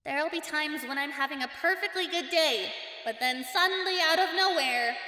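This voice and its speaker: A woman's voice: high voice, a little fast, bright, forceful tone. A woman voicing a young cartoon heroine's chatty, wry inner thoughts, wary and sarcastic. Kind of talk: character voice-over commentary on cartoon clips. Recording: echoey hall reverb, music behind.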